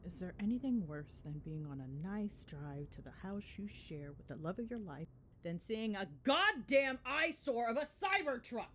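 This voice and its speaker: A woman's voice: mid-range voice, sing-song, normal speed, fairly bright tone, a little hiss or hum. A woman playing a chatty, gossipy girlfriend in a casual ASMR phone-call roleplay, with playful, sweary, dramatic griping. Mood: mock outrage. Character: chatty, gossipy girlfriend venting on the drive home.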